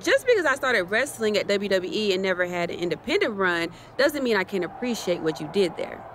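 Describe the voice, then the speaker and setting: A woman's voice: medium pitch, sing-song, fairly quick, slight background noise, natural voice. An actress playing a self-assured female wrestling challenger with a teasing manner. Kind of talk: video game cutscene acting.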